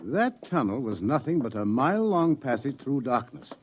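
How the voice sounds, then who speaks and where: A man's voice: medium pitch, sing-song, normal speed, a little hiss or hum, close to an everyday voice. Man acting an ominous scientist in a lo-fi radio drama. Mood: darkly wry. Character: authoritative older male scientist explaining impending doom. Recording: dull lo-fi old radio recording.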